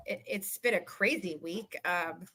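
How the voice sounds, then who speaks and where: A woman guest chatting casually and telling stories in an animated, talkative way. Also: fairly low pitch, a little slow, slightly rough. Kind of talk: casual chat.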